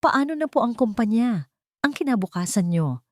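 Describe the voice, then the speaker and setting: A woman's voice: on the high side, sing-song, moderate pace, no room sound, voice pushed up. A woman narrator plays a young housemaid in an audiobook love story. She is respectful and full of worry, her voice lifted above the narration. The recording is very dry. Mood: worried. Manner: deferential, anxious.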